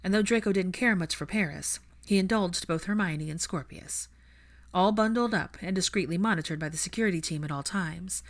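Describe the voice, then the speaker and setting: Low-pitched woman narrating fiction. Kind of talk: scripted story narration.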